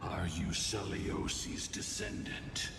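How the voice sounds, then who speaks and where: Casting: ancient stern lord, low and gravelly, solemn game dialogue in a vast echoing hall. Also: male voice, huge pitch swings, heavy background noise, exaggerated voice. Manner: grave, formal, deliberate. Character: ancient, solemn, imposing lord newly freed from captivity. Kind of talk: dramatic video game dialogue. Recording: echoing hall effect, distant, under loud music.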